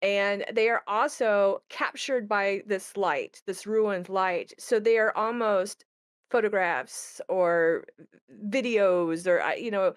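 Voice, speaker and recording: A woman with a smooth, clean voice of medium pitch talks casually as a co-host. Her delivery is playful and promotional, recorded close and dry. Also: slow pace, dry recording. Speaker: game creator co-hosting a promotional chat.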